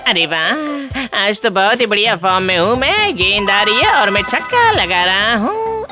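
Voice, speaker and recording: Casting: mischievous male ghost for comedy cartoon. Exclamatory, giggly, very animated pitch, unhurried; loud music bed. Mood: boastful.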